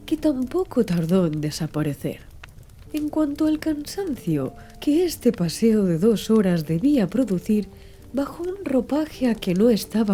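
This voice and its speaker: A woman narrates a literary audiobook with a deeply mellow, velvety tone. Her delivery is soft and soothing, yet the melody of her reading moves in a lively way. Faint music sits behind her voice.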